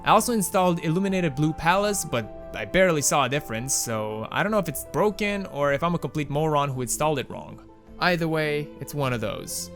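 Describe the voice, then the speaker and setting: A man's voice: a little high, expressive, a little fast, fairly bright tone, faint music behind. A young man with a Romanian accent giving casual, wry, self-mocking commentary as host of a gaming channel. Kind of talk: casual game mod commentary voice-over.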